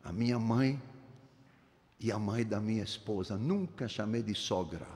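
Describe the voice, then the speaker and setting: A man in his seventies preaching a sermon in a church. He is emphatic, swinging from low, soft phrases to high, ringing exclamations, and speaks slowly and deliberately. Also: slight background noise. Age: 70s. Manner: slow, deliberate delivery. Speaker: pastor. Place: church sanctuary. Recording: reverberant church hall.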